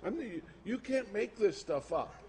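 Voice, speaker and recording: A man's voice: high voice, animated, moderate pace. A man preaching informally to a congregation, colloquial and emphatic with incredulous asides, recorded live. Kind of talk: informal sermon with show-and-tell to a congregation. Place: live talk before an audience. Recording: noisy live recording with room ambience.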